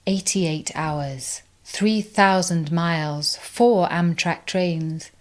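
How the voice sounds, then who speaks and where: A woman reciting poetry in a deliberate, reflective way, pausing between phrases. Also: fairly low pitch. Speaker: reader of poems.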